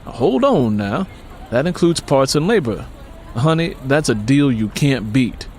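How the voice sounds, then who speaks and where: A man's voice: mid-range voice, sing-song, unhurried, a little mellow, a little hiss or hum. A man voicing a streetwise, sly handyman in an audiobook, casual, slangy and persuasive. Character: streetwise, sly male handyman sizing up a deal.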